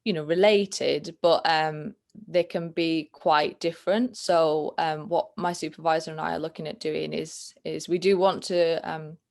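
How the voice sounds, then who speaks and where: A young Yorkshire woman speaks on a video call, her voice sitting low with a gravelly fry. She talks thoughtfully, hedging and restarting as she works through her answers. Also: steady tone, unhurried, few pauses, darkish tone. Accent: Northern English, Yorkshire. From England. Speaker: guest, planetary science student. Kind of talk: casual interview chat.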